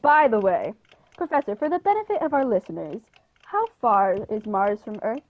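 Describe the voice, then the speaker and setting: A woman's voice: fairly high pitch, very animated, normal speed. A young woman playing an earnest male news reporter in a radio play. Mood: formal, inquisitive. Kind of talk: scripted radio play acting. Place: living room, video call. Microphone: earphones with inline mic. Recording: dull lo-fi video call audio.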